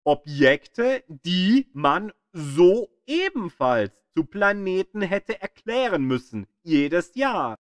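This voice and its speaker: A man reading scripted educational narration in a deliberate, explanatory manner, as the narrator of an audio guide. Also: high voice, big pitch swings, dry recording, clean studio sound. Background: music behind the voice.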